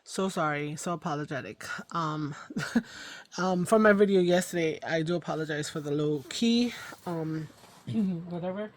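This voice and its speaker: Middle-aged lady vlogging her crafts, kinda hoarse, lower voice, lots of ums. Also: measured pace, no echo. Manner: rambling, self-correcting, lots of ums. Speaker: craft channel host. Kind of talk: casual crafting vlog chat.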